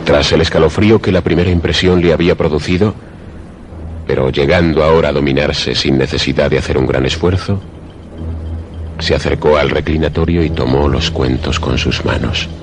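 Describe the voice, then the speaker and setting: A man narrating a scripted suspense radio play in a measured, literary storytelling manner. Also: extremely low pitch. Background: music under the voice.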